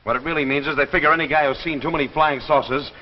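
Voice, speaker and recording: A man's voice: on the high side, steady tone, fast talker, close to an everyday voice. An American man playing an earnest, obsessed grounded Army pilot in 1950s television drama style, insistent and emphatic. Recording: muffled, noisy, echoey 1950s broadcast recording.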